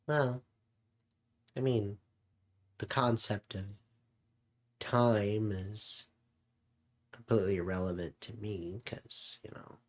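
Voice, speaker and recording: A man's voice: fairly low pitch, fairly even intonation, takes it slowly, pauses often. A man voicing an immortal alien companion who is casual, smug and reflective, in an improvised character chat. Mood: musing.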